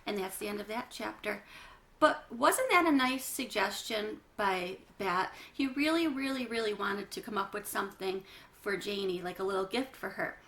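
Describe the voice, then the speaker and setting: A woman's voice: mid-range voice, normal speed, a bit bright. A woman reading a children's book aloud, narrating with chatty asides to young listeners. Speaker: children's read-aloud reader.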